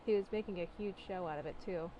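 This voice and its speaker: A woman's voice: lowish voice, fast, mellow, dull audio, slight background noise. A woman doing a casual dramatic reading of fan fiction, giggly and incredulous, breaking off for mocking asides.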